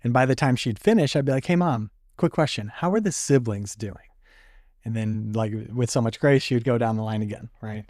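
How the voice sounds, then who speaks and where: A man's voice: mid-range voice, a little fast, darkish tone, no echo, clean studio sound. A young man, a podcast guest, telling his story candidly with many fillers and some laughs. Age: young adult. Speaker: podcast guest, stroke survivor. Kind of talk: casual conversation.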